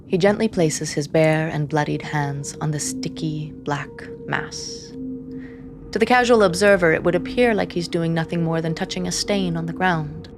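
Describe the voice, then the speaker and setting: An actress playing an anxious, devoted sister who narrates a dark fantasy audio drama in a measured, intense way. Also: female voice, deep voice, music in the background. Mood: grave, tense. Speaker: first-person narrator.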